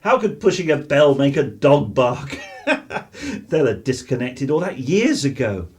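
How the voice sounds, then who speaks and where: A man's voice: medium pitch, very animated, measured pace, darkish tone. A man playing a down-to-earth, teasing father in a radio play. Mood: amused, teasing. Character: down-to-earth working-class English father, gruffly teasing.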